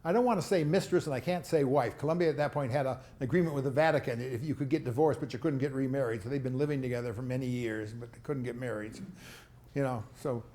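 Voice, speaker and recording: A man's voice: mid-range voice, fast, mellow, roomy sound. A man giving a rambling talk on foreign policy, with chuckling asides, answering audience questions. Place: lecture hall.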